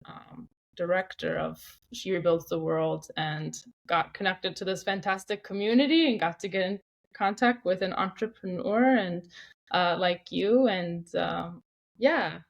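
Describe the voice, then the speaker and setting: A woman interviewer speaking in a conversational way, feeling out her phrases with hesitant pauses. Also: fairly low pitch, creaky.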